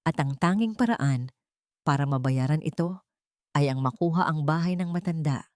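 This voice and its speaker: Low-pitched woman narrating a drama. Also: moderate pace, darkish tone, no room sound, studio quality. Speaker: storyteller, narrator. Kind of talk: scripted dramatic audiobook narration.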